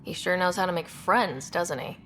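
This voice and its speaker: A woman plays a confident young expedition leader in a video game. Her voice sits slightly low, decisive with a wry edge, over faint noise. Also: moderate pace, a bit bright, natural voice.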